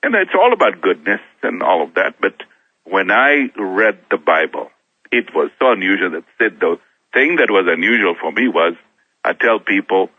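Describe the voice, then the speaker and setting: A middle-aged man from Kenya, a guest on a talk show, telling his own story in a halting way, often restarting his words. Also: low voice, husky, no room sound. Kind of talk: personal testimony in a talk show interview. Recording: thin phone-like sound, dry.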